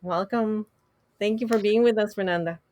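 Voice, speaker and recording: Woman host asking halting questions. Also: mid-range voice, a little mellow, dry recording. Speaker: interview host. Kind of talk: casual interview.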